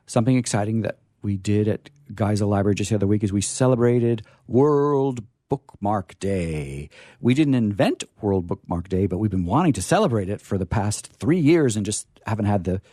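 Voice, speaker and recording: Low-pitched man, halting and playfully formal. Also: expressive, warm tone, dry recording, studio quality. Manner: hesitant, repeats phrases, playfully formal. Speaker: library staffer hosting a discussion. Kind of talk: informal live talk to an audience.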